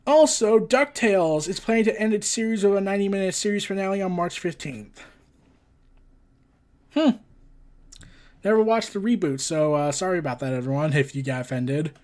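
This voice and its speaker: A man with a high-pitched voice hosts a casual news show. He moves between reading out reports and giving his own opinions. His manner is blunt and exasperated, in the loose style of an online commentator. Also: normal speed, long pauses, darkish tone. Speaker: host of a pop culture and wrestling news show.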